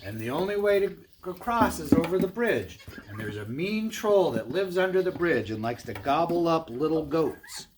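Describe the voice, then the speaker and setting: A man voicing a calm, persuasive middle billy goat brother for a storybook, in a steady voice. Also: on the high side, normal speed, few pauses, a crowd in the background, voice pushed up. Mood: wary. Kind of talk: storybook read-aloud. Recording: clear background noise.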